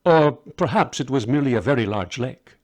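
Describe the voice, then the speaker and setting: A man's voice: medium pitch, expressive, brisk, natural voice. A man reading the part of a learned male astrologer, proud yet anxious, explaining himself earnestly in a story. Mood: hesitant recollection.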